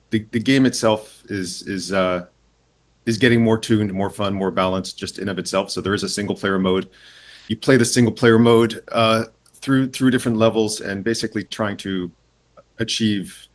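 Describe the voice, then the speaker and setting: A man, the head of a game studio, explaining a new game in a casual interview, with frequent pauses and restarts. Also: lowish voice. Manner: hesitant, many "um" and "uh" pauses and restarts.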